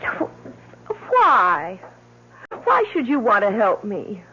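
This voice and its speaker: A woman's voice: mid-range voice, wildly swooping pitch, drawn-out words, sharp tone, exaggerated voice. An actress playing an anxious, stubborn young woman with an American accent in an old-time radio mystery, earnest and hesitant. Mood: frightened, uneasy. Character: anxious, stubborn young woman probing her sister's death. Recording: dull, hissy old radio broadcast.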